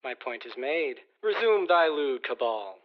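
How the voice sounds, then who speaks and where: An actor playing a sly, dry adviser to an underworld prince, speaking formal archaic lines in an audio drama. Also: male voice, medium pitch, very animated, unhurried, heavy background noise. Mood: sly, persuasive. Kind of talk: audio drama acting in verse. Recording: dull, lo-fi radio drama sound.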